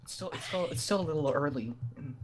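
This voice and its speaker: A man's voice: on the high side, fast, a loud crowd. A man playing a multiplayer game, joking casually with others on a voice call. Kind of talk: casual gaming banter. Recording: faintly noisy mic with clicks.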